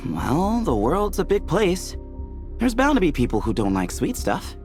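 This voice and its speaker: An actor playing a young temple leader in a video game, playful and teasing with easy laughter. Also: male voice, high voice, huge pitch swings, a little slow, darkish tone, faint music behind, heavily acted.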